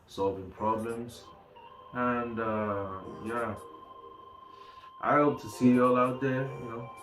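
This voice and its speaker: A man giving an informal testimonial in a casual, halting way with many pauses. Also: mid-range voice, fairly even intonation, a bit bright. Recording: echoey room with music over it. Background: music.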